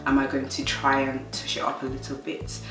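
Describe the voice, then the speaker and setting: A young woman with a Nigerian accent, talking earnestly and softly in a sit-down vlog about her faith and her work. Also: extremely low pitch, monotone, fairly quick, far from the mic, music in the background. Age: young adult. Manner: earnest, soft-spoken, faith-filled. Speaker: vlogger. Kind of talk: reflective sit-down vlog talk. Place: living room, indoors. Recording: roomy sound, mic set back.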